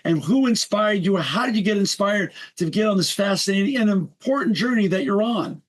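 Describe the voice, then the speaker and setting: A man's voice: high-pitched, lively intonation, brisk. A man hosting a podcast interview, effusive and enthusiastic as he welcomes his guest. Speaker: podcast host.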